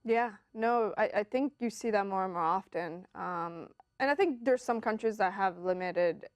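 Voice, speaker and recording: A woman's voice: mid-range voice, moderate pace, no room sound. A woman in her twenties from Colombia, a native Spanish speaker who grew up partly in Florida, answering interview questions in an informal, conversational way. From Colombia, moved to Florida as a child. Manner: informal, frequent "like" and "you know". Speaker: interview guest, venture capitalist.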